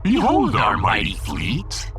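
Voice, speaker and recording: Slow, swooping, melodramatic fish emperor. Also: male voice, deep voice, wildly swooping pitch, fairly bright tone, faint music behind, heavily acted. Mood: imperious, menacing. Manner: theatrical, long-winded, mournful, imperious. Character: pompous, melodramatic, gloomy little male alien fish emperor. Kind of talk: sci-fi audiodrama character acting.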